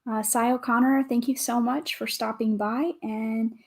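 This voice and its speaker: Calm-voiced woman chatting on a livestream. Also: on the high side, normal speed, creaky. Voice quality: calm, soothing voice. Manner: chatty, greets and thanks viewers, light laughs. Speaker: livestream host. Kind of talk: casual livestream chat while building.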